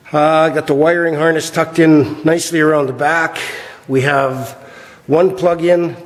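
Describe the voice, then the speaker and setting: Slightly hoarse man in an echoey garage. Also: a little high. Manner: relaxed, rambling, many "uh" fillers. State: slightly hoarse, clearing his throat. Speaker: garage builder showing his project. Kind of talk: casual workshop vlog. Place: large shop garage, indoors. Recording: reverberant garage with long echo.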